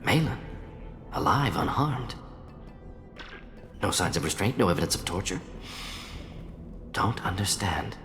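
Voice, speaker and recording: A man voicing a brisk, analytical alien professor in a video game, speaking in clipped, telegraphic phrases. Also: sits low, sing-song, a bit bright, full character voice. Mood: puzzled. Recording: reverberant hall, faint music behind. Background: faint music.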